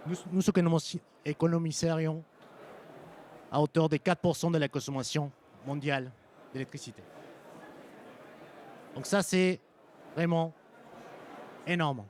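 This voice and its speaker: A man with a Mexican Spanish accent giving a conference presentation as a sales engineer, pausing often with ums and restarting phrases. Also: fairly high pitch, darkish tone, a little hiss or hum. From French-Mexican. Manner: hesitant, frequent ums and restarts. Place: conference hall.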